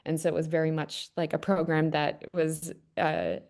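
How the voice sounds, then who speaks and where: A woman's voice: low voice, little pitch movement, moderate pace, creaky, a little mellow. A young Canadian woman with a Canadian accent, a guest chatting casually on a video call with lots of "kind of" fillers. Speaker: guest panelist. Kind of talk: casual webinar talk.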